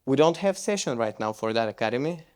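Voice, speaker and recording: Male presenter, English, relaxed, conversational, stumbles and restarts mid-sentence. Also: medium pitch, quick pace, clear, resonant tone. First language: Bulgarian. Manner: loose, conversational, with frequent restarts. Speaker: conference speaker. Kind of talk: conference presentation with Q&A.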